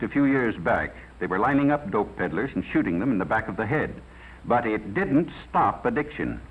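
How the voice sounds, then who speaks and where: A tinny 1950s film soundtrack carries a grave American judge with a husky voice. His pitch swings widely as he preaches and warns, driving points home with rhetorical questions. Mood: stern advice. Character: grave, moralizing judge warning about narcotics. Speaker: narrator, playing a judge. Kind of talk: 1950s propaganda film narration.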